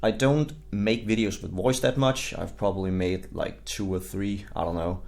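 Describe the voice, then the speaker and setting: Young Danish-accented male guide creator; lowish voice, laid-back, rambling delivery full of fillers. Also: normal speed, vocal fry. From Denmark. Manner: relaxed, rambling, hedging with fillers. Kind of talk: casual game guide voice-over.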